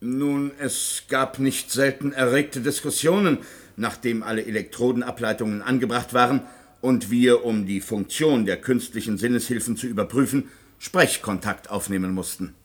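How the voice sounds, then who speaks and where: An actor playing a callous, impatient scientist doctor in a science-fiction radio play, clinical and brusque in manner. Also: male voice, medium pitch, normal speed, close to an everyday voice. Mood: matter-of-fact, defensive. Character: callous, impatient male scientist doctor, coolly self-justifying. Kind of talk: German science-fiction radio drama acting.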